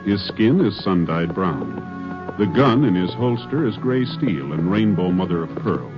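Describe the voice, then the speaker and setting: A man's voice: low-pitched, lively intonation, unhurried. Male 1950s radio announcer, formal and emphatic, sweeping intonation, muffled broadcast with music.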